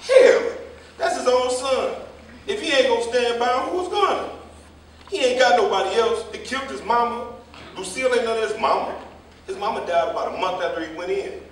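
A man's voice: very high voice, expressive, brisk. A man playing a gossipy, combative older jitney driver in a stage play reading, telling stories in a folksy, rambling, colloquial way. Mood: indignant. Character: gossipy, combative old male jitney driver, scolding the young. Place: theater or hall staged reading, far mic. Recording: echoey hall, distant mic, faint noise.

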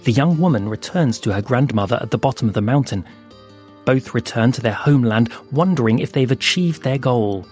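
A man narrating an audio fable in a slow, hushed storytelling manner with dramatic pauses. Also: medium pitch, lively intonation. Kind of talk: scripted audio fiction narration. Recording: soft music underneath.

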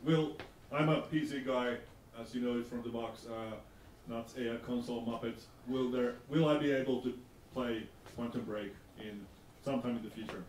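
A man in the audience with a loud voice asking a casual question. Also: medium pitch, normal speed. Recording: echoey room from far off, noisy.

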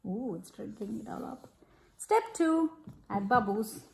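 A woman reading a storybook aloud to a child in an expressive, deliberate way, pausing to ask questions. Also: medium pitch, vocal fry, a little hiss or hum. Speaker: picture-book reader to a child. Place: room at home, at a glass table. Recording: echoey room.

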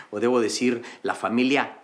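A man's voice: medium pitch, fairly even intonation, unhurried, a little hiss or hum, natural voice. An actor with a Peruvian accent playing a condescending, mocking wealthy father in a comedy series. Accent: Peruvian Spanish. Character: snobbish mocking wealthy father, middle-aged man.

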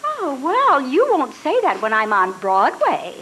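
A woman's voice: high-pitched, unhurried, a little hiss or hum, full character voice, low-quality recording. An older actress reading the part of a defiant young woman bent on becoming a star, in a live radio drama re-enactment on stage. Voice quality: lively, defiant, flippant. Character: brash young woman dreaming of stardom. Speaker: reader's theatre actress. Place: hotel ballroom stage with audience. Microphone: stand mic on stage.

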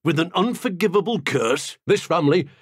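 An actor playing a stern, hot-tempered older British wizard uncle in a video game, gravelly and husky, curt and scolding. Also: male voice, fairly high pitch, expressive, moderate pace, no room sound, clean studio sound. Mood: grim reproach.

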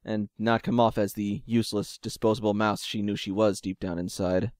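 A man's voice: on the low side, little pitch movement, normal speed, a little mellow, no echo, studio quality. A man reading scripted third-person story narration as the narrator of an audio drama.

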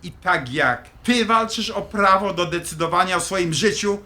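A man's voice: sits high, lively intonation, moderate pace, bright, forceful tone, full character voice. An actor playing a stern, middle-aged father in an audio drama, blunt, commanding and dismissive. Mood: defensive.

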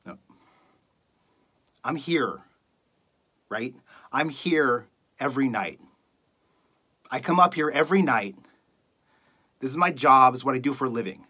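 Performer acting a bitter, forceful talk radio host. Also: slightly rough, heavily acted. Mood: weary, defensive. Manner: scornful, accusatory, building from low muttering to shouting. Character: bitter, contemptuous late-night talk radio host ranting at listeners. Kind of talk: theatrical dramatic monologue.

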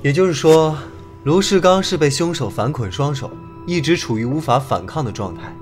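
A man's voice: fairly high pitch, animated, moderate pace, soft background music, close to an everyday voice. An actor playing a confident young police detective in an audio drama, laying out his reasoning in an articulate, methodical way. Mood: earnest, analytical. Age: young adult. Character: confident young male police detective, analytical, returned from abroad. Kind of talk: Chinese audio drama acting.

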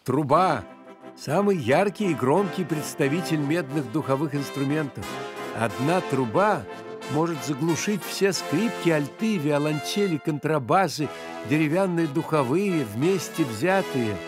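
An actor voicing a courtly grasshopper maestro who guides children through music in a theatrical, measured, explanatory cartoon manner. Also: male voice, a little high, expressive. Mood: explanatory, grand. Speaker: guide and teacher. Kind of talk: animated educational storytelling. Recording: music behind the voice.

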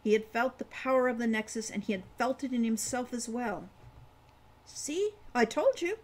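A woman in her sixties reading fantasy fiction as its author, with deliberate, dramatic phrasing. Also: a little high, steady tone, rough recording. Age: 60s. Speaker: author reading own novel. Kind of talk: audiobook-style fantasy narration. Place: home room with kitchen behind.

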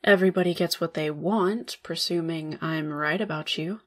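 A woman's voice: deep voice, normal speed. A woman playing a cold, calculating spider-woman law boss in an ASMR roleplay, sardonic and condescending. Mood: smug. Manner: sardonic, condescending, drawling with smug chuckles.

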